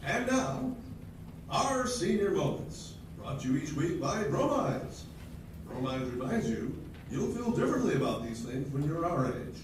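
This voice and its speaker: A man's voice: a little high, sing-song, unhurried. A man in amateur readers theatre reading a jokey mock announcement. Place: senior center hall, on stage. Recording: echoey hall, distant mic, noisy.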